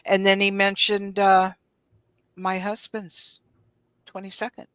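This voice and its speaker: A woman's voice: mid-range voice, completely flat delivery, takes it slowly, fairly bright tone, smooth, no echo. A woman of about seventy chatting casually and colloquially as co-host of a live stream.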